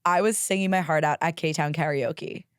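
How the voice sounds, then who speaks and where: Raspy-voiced woman, low and dry. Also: moderate pace, dry recording. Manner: candid, profane, animated with laughs. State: hoarse from karaoke singing. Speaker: podcast host. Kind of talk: solo podcast monologue.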